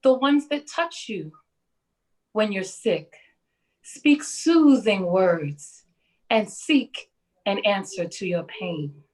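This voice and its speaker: A woman's voice: mid-range voice, animated, slow pace, long pauses, darkish tone. A woman playing an anxious, dedicated city nurse in a poetic dramatic monologue. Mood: anxious resolve.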